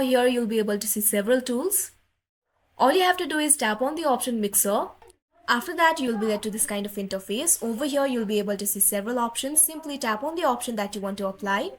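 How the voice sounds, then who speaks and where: Woman, fairly high voice, tutorial host, instructional, scripted step-by-step narration, set phrasing.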